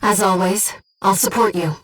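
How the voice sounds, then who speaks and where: A woman voicing a gentle, composed, earnest disembodied female guide in a video game, speaking in a measured, softly formal and precise way. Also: medium pitch, steady tone, distant mic, natural voice, poor audio. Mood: tender, solemn. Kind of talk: scripted video game voice acting, mission guidance. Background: music.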